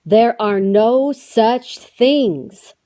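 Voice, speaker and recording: A woman's voice: on the high side, drawn-out words, mellow. A woman playing a confident, sensible and playful bear scout leader in a children's storybook read-aloud. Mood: reassuring.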